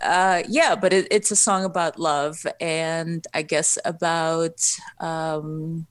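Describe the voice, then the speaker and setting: A woman from Ukraine with Russian as her first language talks in a low voice. She speaks haltingly, full of fillers and restarts, as a guest on a phone interview. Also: steady tone. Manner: hesitant, many fillers and restarts, laughs often. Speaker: singer-songwriter guest. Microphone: phone line.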